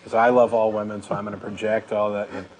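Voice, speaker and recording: A man's voice: fairly low pitch, level tone, fast, a little mellow, low-quality recording. A man co-hosting a talk show, speaking conversationally and emphatically as he reasons through his own views. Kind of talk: conversational discussion, personal reflection. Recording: clear background noise, room ambience.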